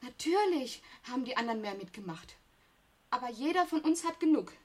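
A woman's voice: sits high, lively intonation, brisk, slightly rough. An actress playing a proud, aggrieved young working woman, emphatic and reproachful, in a radio play. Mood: defensive. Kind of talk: German radio play acting.

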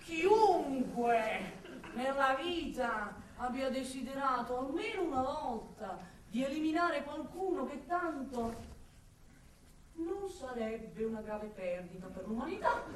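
A woman's voice: high voice, animated, slight background noise. An actress playing an unsettling, darkly ironic man with murderous thoughts, in a volatile theatrical radio monologue. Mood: furtive, dark. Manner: volatile, swinging from murmurs to shouts, drawn-out words. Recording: very echoey, distant, music behind.

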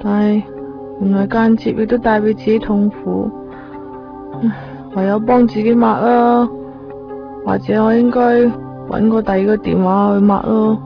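A woman's voice: medium pitch, little pitch movement, normal speed, vocal fry, long pauses, close to an everyday voice. A woman playing a timid, apologetic young cleaning girl in a Cantonese radio drama, with a Hong Kong accent. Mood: wistful, sad. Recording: dull 1990s radio recording, faint music.

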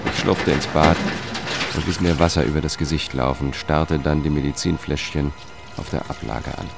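An actor playing a weary, melancholic male investigator who narrates his own story in a measured, subdued way for a radio drama. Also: extremely low pitch, fairly even intonation, gravelly, music in the background. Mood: melancholic, reflective.

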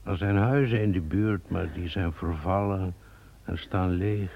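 An actor playing a mysterious lawyer who speaks ominously in an old radio play. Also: male voice, low voice, measured pace, vocal fry, breathy edge, narrow, tinny audio, full character voice. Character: Judas, a mysterious male lawyer who waits in ruins. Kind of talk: radio drama acting.

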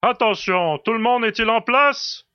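A man's voice: very high voice, a little slow, no room sound, highs cut off. A man playing a giant space colonel, decisive and commanding, in a science fiction audio drama.

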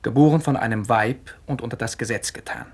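An actor playing an earnest, critical young son with a husky voice, lecturing insistently and articulately in a radio play. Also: male voice, mid-range voice, fairly quick. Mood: insistent explaining. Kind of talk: 1960s German radio play acting.

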